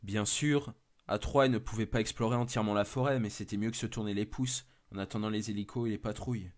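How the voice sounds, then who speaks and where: A man's voice: fairly low pitch, fairly even intonation, fast. A man narrating a scripted first-person horror story.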